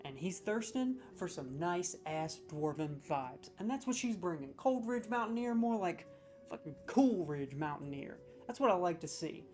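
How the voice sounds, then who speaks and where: A man rants as a comedic judge, scoring video game voice lines with profanity, mock-serious verdicts and excited stammering restarts. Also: high voice, moderate pace, soft background music. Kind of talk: comedic ranking rant.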